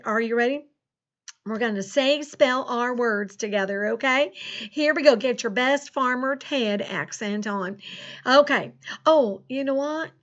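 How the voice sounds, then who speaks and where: A folksy, chatty farmer played by a woman leads children through a lesson in a high, playful voice. The delivery is slow and exaggerated, with a country drawl and an easily sidetracked manner. The recording sounds dull and lo-fi. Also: a bit bright. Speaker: school teacher leading spelling practice.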